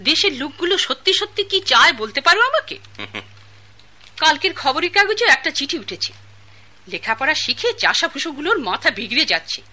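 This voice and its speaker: A haughty upper-class lady, scornful of the poor and soft with her son, played by a woman in a radio play. Her voice is high and bright, with pitch that leaps and falls. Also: very animated, moderate pace, full character voice. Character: wealthy snobbish mother, indulgent. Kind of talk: radio drama acting.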